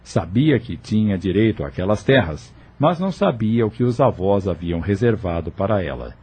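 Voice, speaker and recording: A man narrating an audio novel from a script in a measured storytelling manner. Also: lowish voice, big pitch swings, darkish tone, husky. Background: music.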